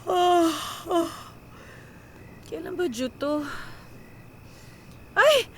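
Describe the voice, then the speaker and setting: An overworked young Filipino daughter in a radio drama, anxious and self-sacrificing. Her voice sits high and moves at a slow, weary pace, often as if talking to herself. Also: animated, fairly bright tone, slight background noise, exaggerated voice. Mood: stressed, worried. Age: young adult. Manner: talks to herself, code-switches into English.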